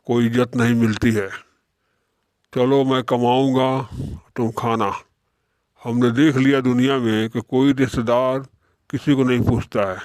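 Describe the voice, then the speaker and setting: A man's voice: medium pitch, darkish tone, no echo, natural voice. A man voicing an idle, proud young son-in-law in a moral-story cartoon, complaining in firm statements.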